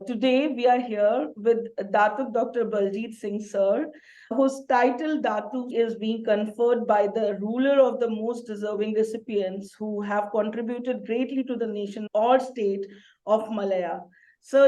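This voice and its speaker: A female interview host with a slightly high voice reads a formal introduction. She stays polite and deferential, addressing her guest as sir. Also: steady tone, normal speed, warm tone, highs cut off. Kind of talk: scripted introduction and interview questions.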